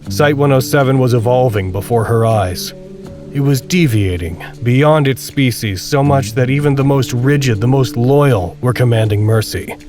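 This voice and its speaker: A man narrating a literary drama podcast as a solemn, reflective storyteller, speaking in a deliberate way. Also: fairly low pitch, a little mellow, natural voice. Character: solemn, reflective male storyteller of a bleak tale. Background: music with guitar.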